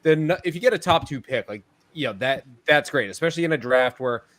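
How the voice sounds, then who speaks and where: A man's voice: a little high, expressive, quick pace, fairly bright tone. A man co-hosting a casual sports podcast, wry and rambling, chuckling as he talks. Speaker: podcast co-host.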